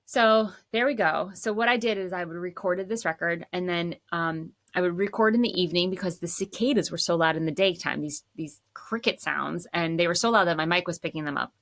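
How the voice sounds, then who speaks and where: A woman in her thirties, a songwriter and composer, chatting casually about her work in a low voice with lots of "like.". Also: fairly quick, few pauses. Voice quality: says she has a low voice. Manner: chatty, says "like" often, relaxed. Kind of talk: casual storytelling to camera.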